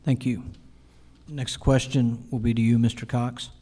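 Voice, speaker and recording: A man's voice: mid-range voice, flat delivery, unhurried, warm tone. A man moderating a forum, reading questions to candidates in a formal, courteous manner.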